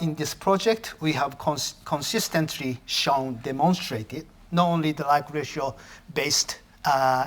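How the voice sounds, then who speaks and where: A man's voice: on the high side, a bit bright. Japanese-native man giving an academic lecture. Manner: halting, self-correcting phrasing.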